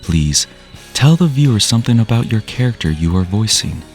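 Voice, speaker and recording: A man's voice: on the low side, very animated, normal speed, soft background music. A man reading out scripted interview questions as the interviewer.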